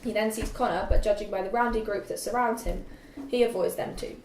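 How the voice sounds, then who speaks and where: A woman's voice: mid-range voice, steady tone, a little fast. Young woman reading stage directions, echoey room. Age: young adult. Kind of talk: scripted narration. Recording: echoey room, distant mic, faint noise.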